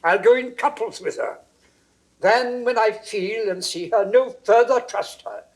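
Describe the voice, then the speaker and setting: An actor playing a blunt, hot-tempered old lord, theatrical and emphatic, in classical Shakespearean drama. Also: male voice, high voice, measured pace. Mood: indignant. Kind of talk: classical Shakespearean stage acting.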